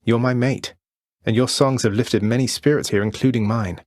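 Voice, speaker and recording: A man playing a young underworld prince in a video game, friendly, courteous and gently wry. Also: mid-range voice, steady tone, moderate pace, no room sound, studio quality, lightly acted. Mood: warm, encouraging.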